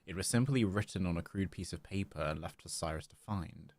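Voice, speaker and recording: Low-voiced, playful man streaming a game. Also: brisk, a little mellow, slight background noise. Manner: playful, chatty. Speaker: game livestreamer. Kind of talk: casual live gameplay commentary, reading dialogue aloud.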